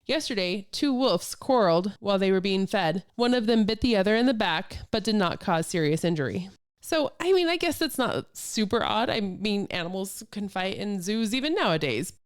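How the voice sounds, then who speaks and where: A woman with a smooth, clean voice at an ordinary pitch hosts a storytelling podcast. She moves between reading vintage news items and chatty, amused commentary, keeping the delivery casual. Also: animated, normal speed. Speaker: podcast host.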